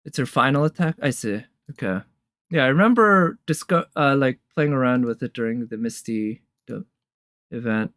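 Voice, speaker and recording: A man's voice: medium pitch, animated, moderate pace, fairly bright tone, no echo, clean studio sound. A man giving casual live commentary as a streamer, enthusiastic and thinking aloud in fragments. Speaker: streamer reviewing game trailers. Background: game trailer music.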